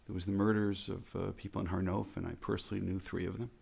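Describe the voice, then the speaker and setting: A man with an American accent, a rabbi giving an earnest, measured reflective talk that repeats phrases for emphasis. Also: deep voice, flat delivery, gravelly, a little mellow, slightly rough. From United States.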